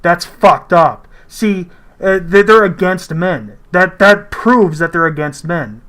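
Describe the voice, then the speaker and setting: A man's voice: sits high, slow pace, fairly bright tone. A man giving casual, opinionated reaction commentary in a blunt, argumentative, sweary way.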